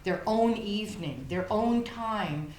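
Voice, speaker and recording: A woman's voice: mid-range voice, expressive, slow, darkish tone. A woman explaining things in an interview. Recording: echoey room, faint noise.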